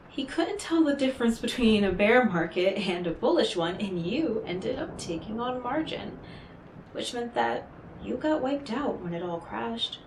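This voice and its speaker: A woman's voice: medium pitch, darkish tone, reverberant, slight background noise, natural voice, poor audio. A woman playing an enigmatic, devilish stranger in a roleplay audio drama, speaking coyly and theatrically to the listener with teasing condescension. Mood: mock sympathy, condescending. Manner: coy, deliberate, theatrical, talking directly to the listener.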